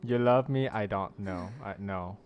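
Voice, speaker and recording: A man's voice: lowish voice, measured pace, highs cut off. A man livestreaming a game, chatting casually and greeting viewers in a friendly way. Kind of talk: casual chat with stream viewers, reading chat aloud. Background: soft background music.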